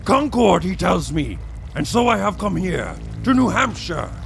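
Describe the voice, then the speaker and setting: Comedy audio drama: stentorian, pompous admiral cultist, high gravelly voice. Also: male voice, sing-song, a little slow, faint music behind, heavily acted. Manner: stentorian, grandiloquent, theatrical. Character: pompous deluded male admiral cultist, grandiose.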